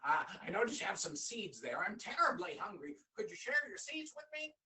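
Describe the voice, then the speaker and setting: A man's voice: sits high, sing-song, a little fast, pushed and bright, far from the mic, heavily acted. A man voicing a polite, hungry crow in a told story. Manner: polite, eager.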